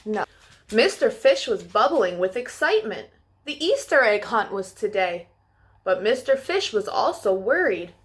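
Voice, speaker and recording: A woman's voice: mid-range voice, very animated, unhurried. A woman hosting a children's storytime, reading aloud and addressing young listeners in a sing-song way.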